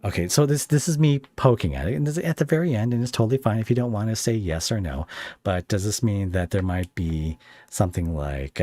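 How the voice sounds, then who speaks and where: Very deep-voiced man, rambling podcast host. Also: mellow, no echo. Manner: hesitant, rambling phrasing. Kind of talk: casual interview chat.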